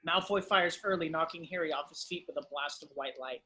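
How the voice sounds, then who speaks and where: A man giving scripted narration, reading the stage directions of a drama in a husky voice. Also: fairly high pitch, fairly quick, sharp tone.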